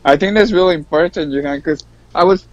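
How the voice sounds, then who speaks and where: A man's voice: fairly high pitch, brisk. A man chatting casually as a podcast guest, telling things informally and repeating himself for emphasis. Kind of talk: casual chat. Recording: dull lo-fi, dry close sound.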